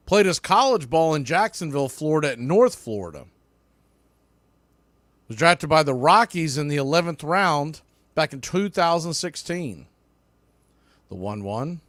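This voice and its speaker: A man's voice: a little high, no echo. Male baseball announcer, dry close sound. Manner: clipped calls that swell on the action. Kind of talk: live radio-style play-by-play commentary.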